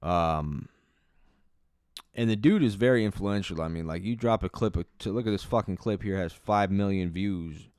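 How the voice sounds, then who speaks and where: A man with a lowish, smooth, resonant voice riffs through a casual reaction commentary. He swears freely, stumbles over his words and restarts often. The sound is dry and close. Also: moderate pace, darkish tone, no echo. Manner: profane, rambling, many restarts. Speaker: commentary channel host.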